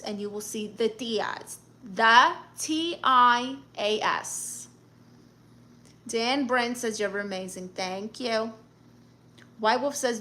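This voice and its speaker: A woman from Brooklyn with a thick New York accent, bantering through viewer comments on her live stream. Her voice is bright and sits a little high, and she delivers everything with brash, joking sass. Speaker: livestream host. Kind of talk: casual live chat reading comments.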